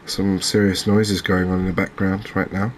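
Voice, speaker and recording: Low, even-voiced man doing cozy game commentary. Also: moderate pace, a little hiss or hum. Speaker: gaming video host. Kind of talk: soft-spoken ASMR game commentary.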